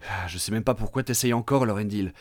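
A man's voice: lowish voice, fast. A man in scripted comic banter, talking in an exasperated, dismissive way.

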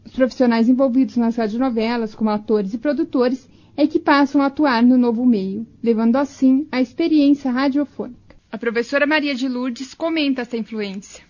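A woman's voice: high voice, brisk, darkish tone. A Brazilian woman reading scripted narration as the narrator of a radio documentary. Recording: slightly muffled lo-fi audio.

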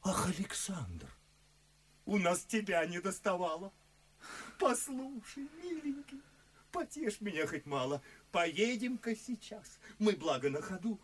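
Radio drama role: an excitable, boastful chatterbox, husky and higher-pitched, wildly animated, drawing out his words. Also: measured pace, full character voice. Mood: gushing, coaxing. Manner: gushing, exclamatory, conspiratorial, drawing words out. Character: boastful, good-natured, foolish chatterbox gentleman, excitably confiding. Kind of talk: theatrical radio play verse acting.

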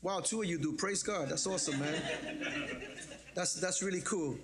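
A pastor from the Bronx, preaching a sermon in a lively, joking, conversational way. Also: male voice, fairly high pitch, normal speed, over a noisy crowd. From the Bronx, New York.

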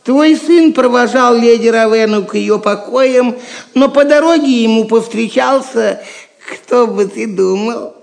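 A teasing, cheeky fool for an audio drama. The voice is extremely high and gravelly, with slow, familiar delivery. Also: male voice, exaggerated voice. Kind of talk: theatrical audio drama acting.

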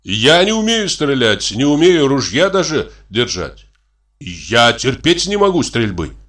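An irritable, reluctant country doctor, acted by a man reading a story. He grumbles and protests in an exasperated, lively voice with a sharp edge. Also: medium pitch, measured pace, voice pushed up. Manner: complaining, exasperated.

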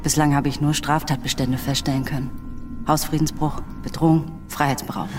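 An actress playing a sharp-witted, defiant young woman with a dry, ironic, precise manner in a German radio drama. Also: sits low, level tone, fairly quick, music in the background, close to an everyday voice. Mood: defiant irony.

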